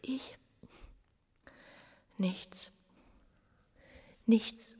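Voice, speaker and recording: An actress playing an unhappy, yearning young provincial wife in a radio drama, with a melancholy, inward manner. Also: female voice, extremely slow, warm tone, no room sound, in a whisper. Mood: suffering, withdrawn. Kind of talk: German radio drama acting.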